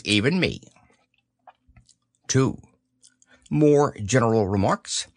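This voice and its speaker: A man voicing a bossy, self-important male rabbit who reads out his plan briskly. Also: fairly high pitch, creaky, dry recording, clean studio sound, voice pushed up, exaggerated voice.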